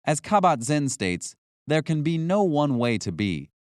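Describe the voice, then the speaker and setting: A man reading a scripted nonfiction audiobook as narrator, in a measured, instructional way. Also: a little high, sing-song, no echo, studio quality.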